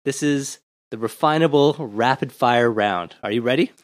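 A man hosting an interview podcast, firing off quick questions in an upbeat way and laughing easily. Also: medium pitch, no echo, clean studio sound. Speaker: podcast host. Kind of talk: interview podcast with a rapid-fire question round.

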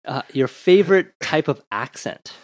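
A man hosting an interview podcast, firing off quick questions in an upbeat way and laughing easily. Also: fairly high pitch, lively intonation, no echo. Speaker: podcast host. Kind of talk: interview podcast with a rapid-fire question round.

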